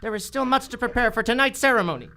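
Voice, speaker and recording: A woman's voice: a little high, fast talker, close to an everyday voice. An actress playing a commanding, scheming female villain in a live old-time radio adventure. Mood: cold, commanding.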